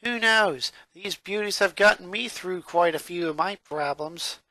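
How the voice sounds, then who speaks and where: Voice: medium pitch, a little slow, sharp tone, no echo, voice pushed up. A narrator voicing a tough, dismissive tomboy girl in a cartoon fan-fiction reading. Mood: cocky.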